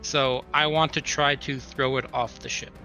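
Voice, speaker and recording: A man playing a tabletop roleplaying game, talking casually, thinking aloud in halting bursts and asking questions. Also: mid-range voice, flat delivery, bright, forceful tone. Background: music.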